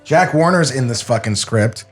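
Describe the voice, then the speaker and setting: A man's voice: mid-range voice, lively intonation, normal speed, breathy edge, soft background music. A man co-hosting a podcast with casual banter and commentary, speaking into a studio microphone. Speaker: podcast co-host.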